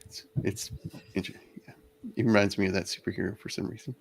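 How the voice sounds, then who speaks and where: A man co-hosting a casual book discussion, speaking haltingly and often repeating words. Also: low-pitched, little pitch movement, gravelly, breathy edge, rough recording. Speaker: co-host of a read-along discussion.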